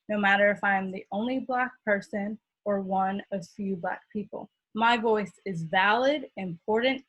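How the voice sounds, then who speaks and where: A woman's voice: mid-range voice, fairly bright tone, slightly rough, poor audio. A teenage girl from California giving an earnest, impassioned prepared speech as a student speaker. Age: teens.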